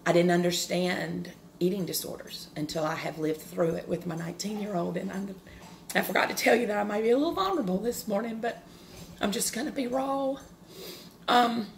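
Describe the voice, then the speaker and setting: A woman in her forties with a loud, carrying voice, giving a personal devotional talk, earnest and open, with pauses and restarts. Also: lowish voice, gravelly, slight background noise. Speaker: devotional speaker.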